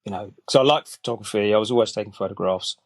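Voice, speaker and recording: A man in his forties, a guest on a podcast, talking in a relaxed, rambling way full of fillers and restarts. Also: lowish voice, fast talker, dry recording. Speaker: guest colorist. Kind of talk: casual interview chat.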